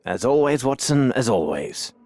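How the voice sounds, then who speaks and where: An actor playing a shrewd, authoritative Victorian gentleman detective in a video game. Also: medium pitch, sing-song, moderate pace. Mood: brisk, assured.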